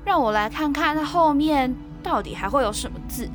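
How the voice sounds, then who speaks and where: An inquisitive young housemate played by a woman in a radio-style drama, eager and expressive. Her voice is high, with a Taiwanese Mandarin accent, over faint music. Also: a little fast. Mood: intrigued. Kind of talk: audio drama acting.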